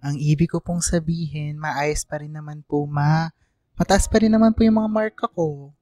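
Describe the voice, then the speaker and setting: A meek, dutiful young Filipino man played by a woman speaks politely and hesitantly in a radio drama. The voice is soft-toned and mellow, recorded dry, with light music behind it. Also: low-pitched, steady tone, normal speed, no echo, voice pushed down, natural voice.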